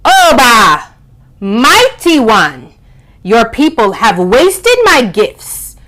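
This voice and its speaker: Woman voicing a booming, angry sky spirit. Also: very animated, heavily acted, poor audio. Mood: stern warning. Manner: slow, forceful, solemn delivery.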